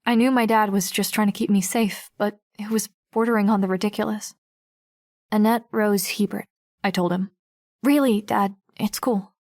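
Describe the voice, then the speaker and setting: A woman playing a guarded, observant teenage girl who narrates an audiobook in the first person, reflective and measured. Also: mid-range voice, fairly even intonation, darkish tone, natural voice. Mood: wary, then hesitant, reassuring. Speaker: narrator and lead character.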